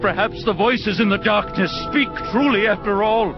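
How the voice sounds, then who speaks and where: An actor playing an anguished, proud angel who questions divine justice, speaking solemnly and formally with passion and a creaky edge. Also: male voice, high-pitched, normal speed. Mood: indignant. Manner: impassioned, solemn, formal diction. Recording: dull lo-fi audio under loud music.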